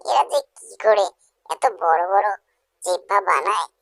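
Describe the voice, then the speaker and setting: A woman's voice: mid-range voice, a little slow, creaky, a bit bright, dry recording, clean studio sound. A woman voicing a snobbish village youngster in a cartoon story, taunting and haughty in manner. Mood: scornful. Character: snobbish, mocking village youngster scorning the poor. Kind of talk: Bengali cartoon story dubbing.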